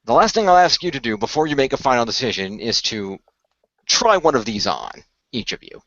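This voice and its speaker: A man playing an earnest male leader who recruits heroes in a radio play, explaining things in a halting, deliberate way. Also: mid-range voice, very animated, a bit bright, no echo, muffled recording. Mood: earnest, persuasive. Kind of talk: improvised radio play dialogue.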